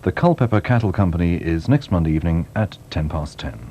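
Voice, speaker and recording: A British man with a low, mellow voice delivers television continuity announcements. His intonation moves freely while he stays measured and courteous, with a touch of dry wit. It sounds like a 1980s broadcast. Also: lively intonation, slight background noise. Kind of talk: scripted closedown announcements and weather.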